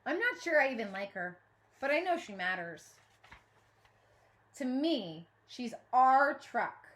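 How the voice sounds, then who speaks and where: A woman voicing an earnest, nervous young girl in a storybook, speaking deliberately and with emphasis. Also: fairly high pitch.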